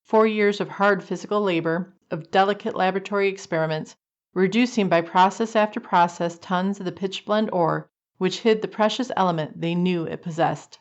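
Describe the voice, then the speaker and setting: A woman's voice: on the low side, moderate pace, dull audio. A woman narrating an old-time radio drama from a script, in a storytelling style.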